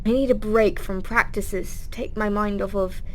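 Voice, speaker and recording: A woman's voice: medium pitch, fairly even intonation, unhurried, a little mellow, slight background noise, close to an everyday voice. A woman voicing a timid, paranoid and tired young male builder in an audiobook, evasive and uneasy. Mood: weary, nervous. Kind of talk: audiobook character reading.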